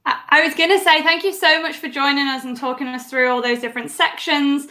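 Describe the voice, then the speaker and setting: A woman's voice: high voice, fairly even intonation, a little fast, sharp tone. A woman hosting a live show, upbeat as she thanks guests and hands over to the next one. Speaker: show host.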